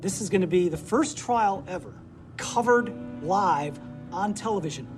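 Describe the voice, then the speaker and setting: This is a man in a documentary interview who speaks emphatically. His voice sits a little above the usual for a man. Music is clearly heard behind him. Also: high-pitched, expressive, normal speed.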